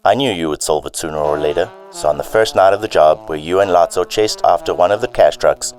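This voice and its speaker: A man playing a corrupt, scheming police chief who delivers deliberate villain monologues in an amateur radio drama. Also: low-pitched, a little mellow, natural voice. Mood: scheming, boastful.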